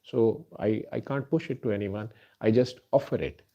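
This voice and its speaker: Earnest urdu-native guy, low voice, lots of uh's, muffled lo-fi recording. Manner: earnest, halting, many fillers. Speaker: doctor and healer. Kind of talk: spoken address to listeners. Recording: dull lo-fi recording.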